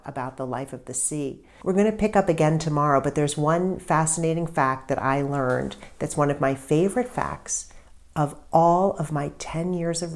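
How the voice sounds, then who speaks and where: A woman author reading a story aloud in an expressive, deliberate narrating style with emphatic stresses. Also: low voice.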